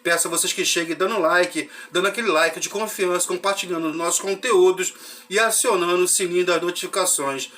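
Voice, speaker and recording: A football fan channel host from Rio, talking in a high, bright voice gone hoarse from a cold. He runs through lineups and results in a loose, chatty way, often checking in with his listeners. A dry cough hangs under his voice. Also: a little fast. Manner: chatty, frequent "né?" tag questions. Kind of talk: casual match recap to fans.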